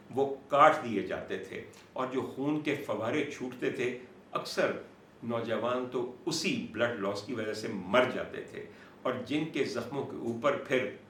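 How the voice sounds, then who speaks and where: A Pakistani-accented man presenting an explanatory monologue to viewers as host, in a deliberate, dramatic manner with repetition for emphasis. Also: medium pitch, a bit bright. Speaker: host and doctor presenting a talk show. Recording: roomy, distant mic with faint noise.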